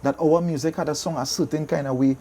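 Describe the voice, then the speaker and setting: Fast-talking mellow Trinidadian man. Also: fairly high pitch, fairly even intonation, slight background noise. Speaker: soca DJ and artist being interviewed. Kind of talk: casual conversational interview.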